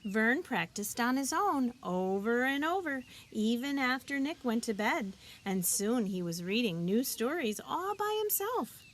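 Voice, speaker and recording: A woman reading a children's picture book aloud, expressively and deliberately, as a teacher would for young listeners. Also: fairly high pitch, very animated, a little hiss or hum. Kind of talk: children's storybook read-aloud.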